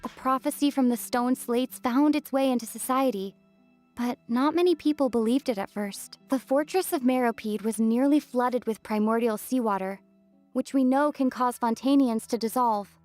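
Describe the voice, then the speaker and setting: A woman voicing a composed young adventurer in a video game, reasoning aloud in a measured, analytical way. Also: fairly high pitch, a little mellow, dry recording, close to an everyday voice. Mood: thoughtful, serious. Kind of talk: video game character dialogue. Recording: music playing behind the voice.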